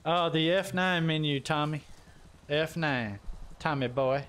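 A man's voice: on the high side, unhurried, fairly bright tone. A man streaming a video game, chatting casually in a jokey, folksy, exclaiming way. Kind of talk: casual gaming chat. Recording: game gunfire and vehicle noise behind. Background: video game sound effects.

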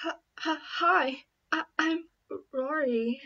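Casting: shy stuttering teen cheer girl acted by a woman, high voice, slightly dull recording, amateur audition. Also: takes it slowly, sharp tone, full character voice. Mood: nervous, apologetic. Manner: stuttering, hesitant.

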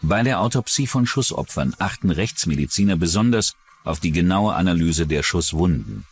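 A man's voice: sits low, very animated, normal speed, slight background noise. A man reading scripted true-crime documentary narration.

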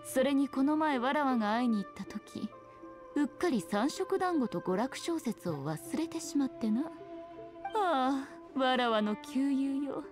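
Sly, high-voiced fox shrine maiden. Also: female voice, lively intonation, unhurried, music in the background. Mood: grave, sly, then mock sorrow. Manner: archaic haughty Japanese speech, playful mock sympathy. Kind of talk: scripted video game dialogue.

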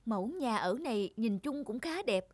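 A woman's voice: on the high side, expressive, moderate pace, a little mellow, no room sound. A woman voicing an earnest, polite young male architecture student in a cartoon moral tale, with neat enunciation. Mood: pensive. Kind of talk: animated moral tale dubbing.

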